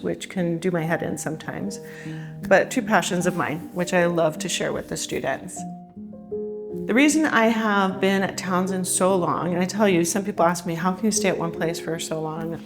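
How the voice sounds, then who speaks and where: A woman from California, a teacher, talking in a chatty, relaxed, upbeat way in an interview. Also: lowish voice, moderate pace. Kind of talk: casual interview talk. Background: soft guitar music.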